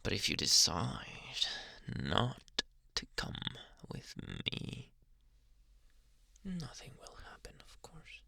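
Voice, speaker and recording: A man playing a possessive, softly menacing psychiatrist in an ASMR roleplay, coaxing in a hushed, breathy, deliberate voice. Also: huge pitch swings, no room sound, full character voice. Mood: persuasive, possessive. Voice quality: breathy, gravelly low voice.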